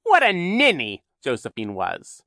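A man voicing a sly, boastful villain in a storybook, growling and sneering with drawn-out emphasis. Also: a little high, wildly swooping pitch, bright, forceful tone, no room sound, studio quality, voice pushed up, exaggerated voice. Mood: gloating. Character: sly boastful theatrical villain, adult male, gloating and sneering.

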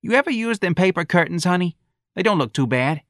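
A timid, fretful older mother, as a male narrator voices her, pitched high above his own voice in a plaintive whine. She gossips and frets in broken grammar. The read is close and dry. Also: animated, fairly quick, a bit bright, no room sound. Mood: gossiping.

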